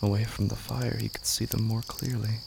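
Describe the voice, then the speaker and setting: Very gravelly low-voiced man narrating solemnly. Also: little pitch movement, normal speed, background noise. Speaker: narrator of a horror audio drama. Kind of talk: scripted literary narration, solemn and slow.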